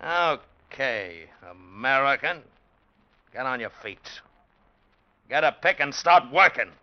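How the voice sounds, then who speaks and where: A man's voice: medium pitch, expressive, slow, background noise. An actor playing a cruel, hard-drinking foreman who sneers and barks orders in an old radio drama. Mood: bullying. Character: cruel bullying chain-gang foreman, hard-drinking tough. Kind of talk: 1940s-50s radio drama acting. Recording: muffled old radio recording.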